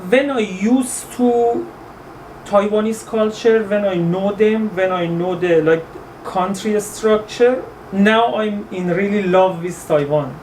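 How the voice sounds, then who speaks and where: Halting Iranian-accented man, distant mic. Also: sits high, a little mellow. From Iran. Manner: halting, searching for words with many fillers and restarts. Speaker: interview guest. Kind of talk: casual interview in English. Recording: distant mic with faint background noise.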